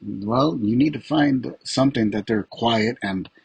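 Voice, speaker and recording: Husky guy teaching psych, chatty, keeps asking right?, dry close sound. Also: mid-range voice, unhurried, a little mellow, no room sound. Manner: chatty, addresses students with "right?". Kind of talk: casual classroom explanation.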